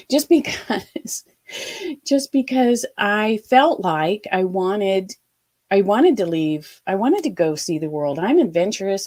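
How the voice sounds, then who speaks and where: A woman from Ohio in her fifties recounts her past as an interview guest. Her voice sits a little low, and she slips into quoted thoughts with animated energy. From Ohio, USA. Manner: lively, animated retelling with quoted asides. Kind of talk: storytelling interview.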